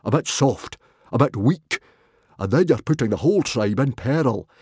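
Big dumb hearty viking dad for a kids story, loud blustery husky voice, pitch jumping all over. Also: male voice, animated, moderate pace, no room sound, studio quality. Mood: solemn, firm. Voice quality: booming, husky. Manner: roaring, bellowing, blustering. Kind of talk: children's audiobook character voice.

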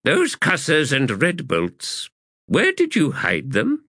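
A man's voice: on the high side, huge pitch swings, takes it slowly, sharp tone, no room sound, studio quality, pitched above the performer's own voice, full character voice. A man voicing a polite, puzzled military subordinate who questions his commander in an audiobook. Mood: probing.

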